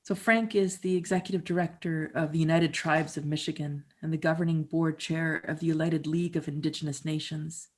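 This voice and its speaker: A woman in her fifties from Canada, performing a conversational first-person monologue with dramatic pauses. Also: low voice, steady tone, a little mellow. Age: 50s. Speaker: storyteller and musician.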